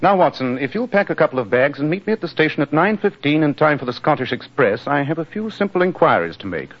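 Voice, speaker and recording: Casting: impatient, authoritative English sleuth, fast delivery, fairly high male voice, vintage radio mystery. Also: sing-song. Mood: cool, commanding. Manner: clipped, precise, authoritative. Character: brisk, coolly logical English detective, impatient, commanding. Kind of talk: 1940s radio drama acting. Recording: dull, noisy 1940s radio recording.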